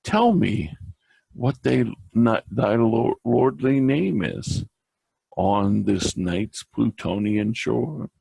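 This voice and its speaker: A man giving a dramatic recitation of a poem, theatrical and halting, with occasional stumbles. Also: lowish voice, animated, creaky, warm tone, slightly rough, no room sound, poor audio. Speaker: reader.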